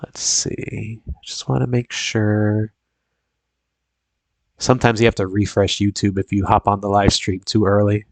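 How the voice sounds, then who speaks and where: A man's voice: on the low side, flat delivery, a little slow, no echo. A young man with a sniffly, congested voice from a cold, chatting casually as a live-stream host in a rambling way full of fillers and restarts.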